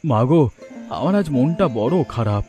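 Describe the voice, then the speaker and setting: A man's voice: medium pitch, animated, moderate pace, music in the background, natural voice. A man voicing a lovestruck young village boatman in a cartoon, insistent and cocky. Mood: pleading, insistent.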